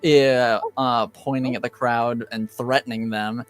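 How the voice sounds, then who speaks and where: A man improvising tabletop game narration as game master, speaking casually and haltingly. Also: mid-range voice, fairly even intonation, faint music behind.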